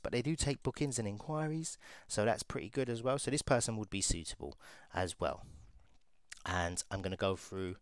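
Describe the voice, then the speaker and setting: Low-voiced man narrating a screen demo. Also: dry recording, clean studio sound. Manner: casual, thinking aloud with fillers while clicking. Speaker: recruiter. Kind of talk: screen-share software walkthrough.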